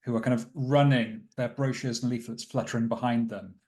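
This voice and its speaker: Male tabletop player, medium pitch, improvised descriptive storytelling. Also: steady tone, fairly quick, a little mellow.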